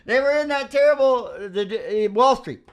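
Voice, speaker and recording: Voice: mid-range voice, animated, normal speed. A host of a music rehearsal show chatting casually, telling rambling, salty, folksy stories between songs. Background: acoustic guitar.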